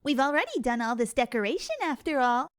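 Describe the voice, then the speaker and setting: High, bubbly pony mother. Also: big pitch swings, fast talker. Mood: cheerful, excited. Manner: gushing, sing-song, affectionate. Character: ever-cheerful, bubbly female winged unicorn mother. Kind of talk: dramatic reading of a cartoon fan story.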